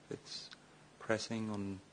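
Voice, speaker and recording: Low-voiced man guiding a meditation, noisy recording. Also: level tone. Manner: slow, hesitant delivery with long pauses. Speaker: meditation teacher, Buddhist monk. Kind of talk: guided meditation instruction.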